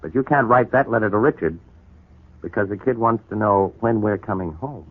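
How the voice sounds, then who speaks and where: A brooding, tender husband in a forties radio drama, burdened with guilt. His low voice is husky and comes through a thin, boxy old broadcast recording. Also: normal speed. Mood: earnest, pressing. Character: troubled, guilt-ridden, grateful husband and father. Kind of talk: 1940s radio soap opera acting. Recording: muffled, narrow 1940s radio broadcast recording.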